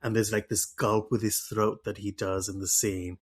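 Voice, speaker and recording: A man hosting a podcast, talking through a book club discussion with animated enthusiasm and frequent restarts. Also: on the low side, normal speed, husky. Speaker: podcast host.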